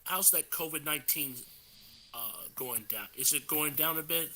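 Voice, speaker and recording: A man's voice: fairly high pitch, normal speed, pushed and bright, slight background noise. A male co-host on a live stream, eager and prone to interrupting.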